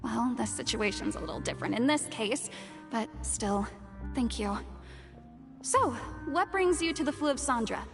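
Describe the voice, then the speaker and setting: A woman's voice: on the high side, animated, a little slow, creaky. A woman voicing an earnest, modest young actress in a video game, with a sincere, polite delivery. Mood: apologetic. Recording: long reverb, music behind.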